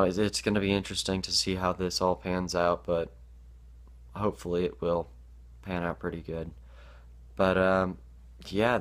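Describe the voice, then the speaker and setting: A teenage boy vlogging to his webcam in a small bedroom, hesitant with many ums, sounding stuffed up from allergies. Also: deep voice. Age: teens. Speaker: gaming YouTuber. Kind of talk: casual vlog to camera.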